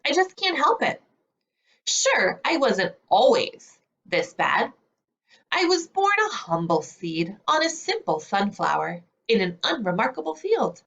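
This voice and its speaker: A woman's voice: on the high side, big pitch swings, gravelly, a bit bright, lo-fi sound, full character voice. Woman as storybook bad seed: brazen, confessional, theatrical, with swooping intonation. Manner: dramatic, confessional storybook delivery with drawn-out words. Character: self-declared bad little seed, male, brazen storybook narrator.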